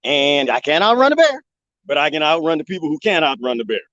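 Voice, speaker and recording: A man live streaming from the desert, joking and rambling in a loose, animated way. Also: on the high side, fast, no room sound, lo-fi sound. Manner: animated, joking, imitates bird chirps. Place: outdoors in the desert.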